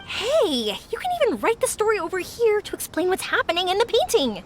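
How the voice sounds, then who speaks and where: A woman's voice: extremely high pitch, wildly swooping pitch, brisk, soft background music, full character voice. A woman voicing an upbeat, encouraging young shopkeeper with a big-sister way of talking. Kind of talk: game voice acting.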